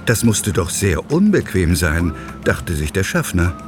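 Low, lively male storyteller over music. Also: a little slow. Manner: expressive storytelling. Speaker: narrator. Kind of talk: scripted children's audio play narration. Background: music, vehicle sounds.

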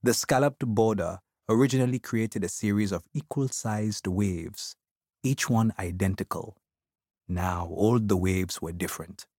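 A man's voice: fairly low pitch, darkish tone, no room sound, studio quality. A man narrating an audiobook as a reflective immigrant, with an African accent.

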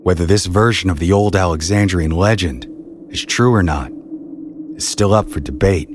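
A man's voice: low-pitched, moderate pace, background noise. A man reading scripted storytelling narration in the manner of a history documentary.

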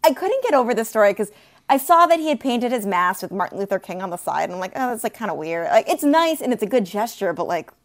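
A woman co-hosting a sports podcast, chatty and expressive, with playful asides. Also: on the high side, fast talker. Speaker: podcast co-host. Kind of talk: casual sports talk.

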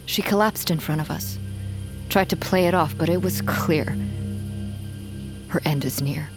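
An actress in an audio drama playing a grave, protective hunt leader who gives earnest, hushed warnings. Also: female voice, low voice, a little slow, music in the background. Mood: urgent, protective. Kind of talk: audio fiction drama acting.

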